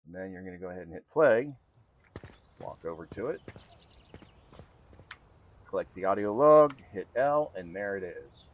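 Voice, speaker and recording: Low-voiced man giving an informal software walkthrough. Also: lively intonation, no room sound, lo-fi sound. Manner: informal, halting step-by-step instruction. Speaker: software tutorial presenter. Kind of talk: unscripted screen-recorded walkthrough.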